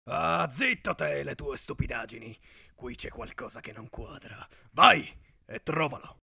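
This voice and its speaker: A man's voice: medium pitch, animated, measured pace, creaky, pushed and bright, slightly rough, no room sound, studio quality, full character voice. A man playing a bossy, scheming middle-aged tycoon villain in a children's cartoon, domineering and irritable. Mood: angry, commanding.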